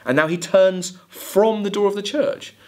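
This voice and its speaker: A man giving a literature lecture, emphatic, halting and deliberate as he corrects himself. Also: high-pitched. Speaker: college English literature lecturer. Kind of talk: lecture with quoted lines of verse. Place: indoors, recorded video lecture at a desk.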